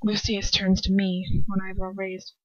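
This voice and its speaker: A woman's voice: mid-range voice, monotone, a little slow, no echo. A woman narrating a first-person story as an amateur reader, stumbling now and then and correcting herself. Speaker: amateur fan fiction reader. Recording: dull, lo-fi home recording.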